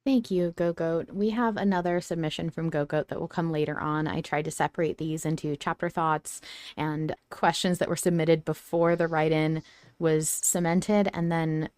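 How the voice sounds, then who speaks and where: A woman's voice: low-pitched, fairly even intonation, moderate pace, a little mellow, clean tone, no room sound. A woman hosting a podcast, talking conversationally as she presents listener submissions.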